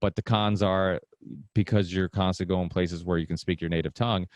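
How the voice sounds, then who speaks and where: A man's voice: sits low, steady tone, brisk, no room sound. A middle-aged man who grew up speaking Portuguese, talking as a podcast guest in a meandering, casual way that often restarts sentences. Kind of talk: casual conversation.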